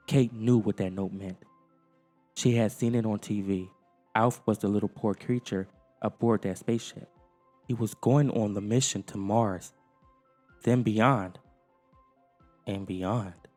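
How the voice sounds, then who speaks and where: Male children's narrator, unhurried and very expressive, theatrical delivery, heavy reverb with music. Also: fairly low pitch. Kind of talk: scripted storybook narration. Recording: long reverb, music underneath.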